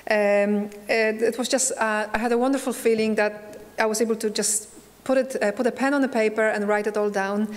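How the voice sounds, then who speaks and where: A middle-aged Polish woman speaks at a church pulpit, her slightly high voice earnest and searching. She pauses and restarts as she tells her testimony. The hall around her rings with a long reverb. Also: fairly even intonation. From Poland. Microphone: pulpit microphone.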